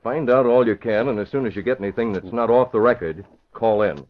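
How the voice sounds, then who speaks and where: An actor playing a cool-headed, authoritative newspaper publisher in crisp, commanding 1940s radio style. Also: male voice, medium pitch, a little fast, lightly acted. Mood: businesslike. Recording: muffled vintage radio recording.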